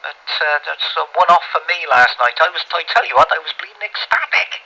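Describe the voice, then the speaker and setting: A man's voice: on the high side, animated, quick pace, vocal fry, sharp tone, poor audio. A British man rambling colloquially and mildly swearing in a casual chat as an amateur radio operator. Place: speaking into a two-way radio, recorded on a phone.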